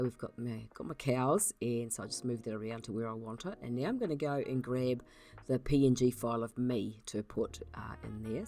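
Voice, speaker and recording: A woman with a croaky voice from being unwell, hosting a casual software tutorial and explaining her steps in a chatty way with many ums. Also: extremely low pitch. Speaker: YouTube channel host.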